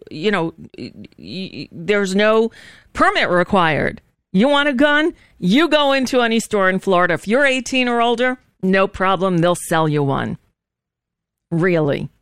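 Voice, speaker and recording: A woman's voice: mid-range voice, clean tone, no echo, clean studio sound. A woman hosting a talk show, rambling through sardonic political commentary with frequent restarts. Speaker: host. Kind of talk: casual political talk monologue. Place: living in Florida.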